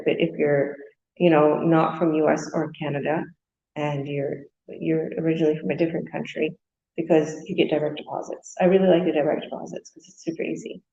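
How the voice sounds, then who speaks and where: A woman's voice: sits low, creaky, mellow, echoey room, lo-fi sound. A woman business coach talking casually in a group video call. She is upbeat and keeps saying how easy it is. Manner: upbeat, repeats "super easy".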